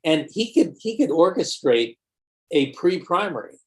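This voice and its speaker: A man arguing on a panel show in quick, emphatic bursts. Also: fairly high pitch, very animated. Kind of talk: casual panel discussion.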